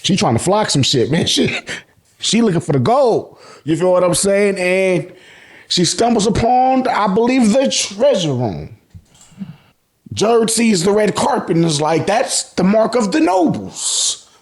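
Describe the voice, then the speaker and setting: A man's voice: high voice, big pitch swings, a little slow. An American man hosting a casual, slangy recap, telling the story colloquially and acting out reactions. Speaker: YouTube host recapping manga.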